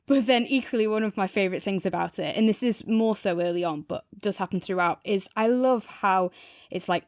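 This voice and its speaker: A woman's voice: mid-range voice, fairly quick, no room sound. Enthusiastic woman chatting, dry close sound. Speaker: podcast co-host reviewing audio drama. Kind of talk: casual review chat.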